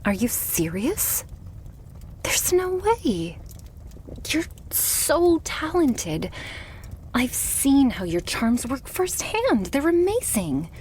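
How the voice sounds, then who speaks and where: A woman playing a devoted, possessive and murderous vampire girlfriend in an intimate ASMR roleplay, slow and breathy, drifting into whispers close to the microphone. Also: high voice, big pitch swings, darkish tone, slight background noise, heavily acted, poor audio. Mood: indignant.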